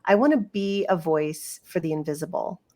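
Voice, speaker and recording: A woman's voice: on the low side, normal speed, dark tone. A woman hosting a podcast interview, talking in an earnest, effusive way with plenty of fillers. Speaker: podcast host. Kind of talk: casual interview chat.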